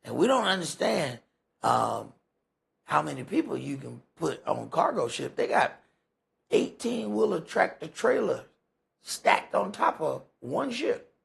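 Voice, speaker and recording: Male Bible teacher, heavy vocal fry and rough husky tone, emphatic, folksy sermon delivery. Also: on the high side, very animated, a little slow, a bit bright. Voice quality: gravelly, husky. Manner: emphatic, rhetorical questions, folksy phrasing. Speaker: preacher leading a Bible study. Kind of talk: conversational sermon with scripture commentary.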